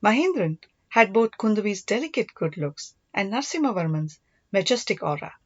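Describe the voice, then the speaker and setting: A woman reads a story as an audiobook narrator, with medium pitch and lively intonation. The sound is dry and a touch dull. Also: a little fast, dry recording.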